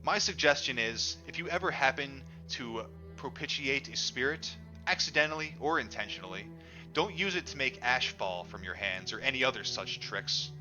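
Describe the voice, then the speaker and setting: Animated male storyteller over music. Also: mid-range voice, moderate pace. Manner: animated, acts out quoted dialogue. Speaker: audiobook reader. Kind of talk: narrated book reading, storytelling. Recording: dull lo-fi audio, music underneath.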